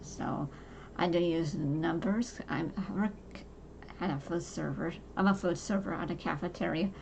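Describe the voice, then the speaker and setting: Woman in her forties, soft low gravelly voice, halting personal chat, faint noise behind. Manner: halting, many fillers and restarts. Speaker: podcast host. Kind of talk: casual personal talk to camera.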